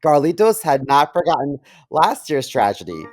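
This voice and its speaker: A man's voice: a little high, sing-song, moderate pace, faint music behind. A man co-hosting a comedy podcast in casual banter and comic story reading. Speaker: podcast co-host.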